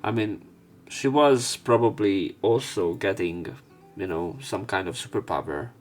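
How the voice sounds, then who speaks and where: Low-voiced man narrating a visual novel. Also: unhurried. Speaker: let's play narrator. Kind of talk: reading visual novel script aloud with commentary. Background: background music.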